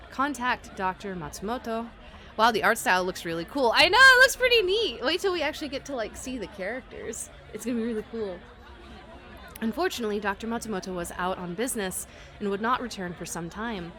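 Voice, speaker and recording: A woman livestreaming a visual novel, reading the text aloud and reacting to it in an expressive, giggly way. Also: on the high side. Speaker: streamer playing a visual novel. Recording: music behind the voice. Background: game music.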